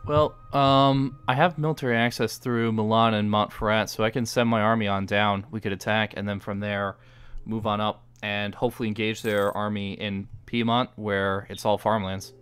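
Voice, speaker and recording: A man's voice: on the low side, fairly even intonation, normal speed, a bit bright, smooth, soft background music. A man streaming a strategy game, talking in a hesitant, polite way with frequent restarts. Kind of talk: casual multiplayer voice chat.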